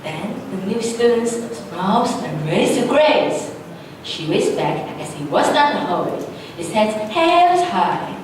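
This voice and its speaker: A woman's voice: medium pitch, very animated, slow pace. A young woman reading scripted narration on stage, recorded from far off in a reverberant hall. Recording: reverberant hall, distant mic, faint noise.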